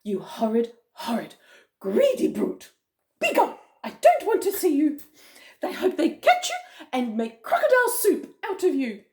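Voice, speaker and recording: An indignant female animal from a jungle tale, acted by a woman. The voice is high and exclamatory, swooping with shock and disapproval. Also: big pitch swings, measured pace, hardly any pauses, a little mellow. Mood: indignant scolding.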